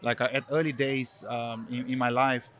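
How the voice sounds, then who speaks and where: A man's voice: medium pitch, level tone, moderate pace, a bit bright. A middle-aged man from Brazil with a Brazilian Portuguese accent, a guest answering interview questions in a loose, rambling way full of "like" and "uh". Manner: rambling, many fillers like "like" and "uh". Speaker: guest, marketing executive.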